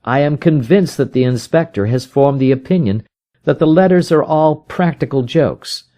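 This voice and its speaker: A man's voice: medium pitch, normal speed, mellow, pitched above the performer's own voice, close to an everyday voice. A man voicing a helpless, frightened young client in an audiobook, with earnest and formal Victorian diction. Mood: earnest, troubled. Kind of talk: audiobook character voice in a detective story.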